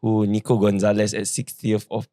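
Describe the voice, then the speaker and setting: A hoarse man hosts a casual football podcast, talking in a lowish, slightly worn voice. He jumps in often to steer the talk. The sound is dry and close, with no room echo. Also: monotone, moderate pace, mellow. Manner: chatty, interjects often. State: hoarse, lost his voice. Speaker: podcast host.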